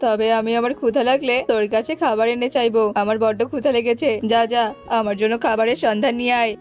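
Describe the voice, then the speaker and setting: A woman's voice: on the high side, steady tone, fast, voice pushed down, natural voice. Casting: woman to play a hungry, bullying male cartoon fox, commanding and taunting; muffled lo-fi track with music underneath. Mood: bossy. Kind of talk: children's animated folk-tale acting. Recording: dull lo-fi audio with music behind.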